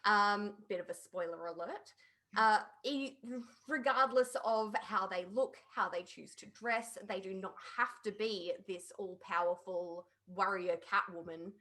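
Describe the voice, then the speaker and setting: A woman's voice: medium pitch, measured pace, pushed and bright. A woman chatting informally and emphatically as a podcast panelist reviewing a show. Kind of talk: casual opinionated review chat.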